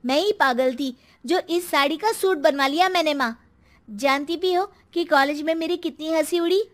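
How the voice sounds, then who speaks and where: A woman's voice: high voice, normal speed, lightly acted. A woman playing a spoilt, rude college-age daughter in a dramatised story, petulant and mocking. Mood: petulant, angry. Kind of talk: dramatised Hindi moral story.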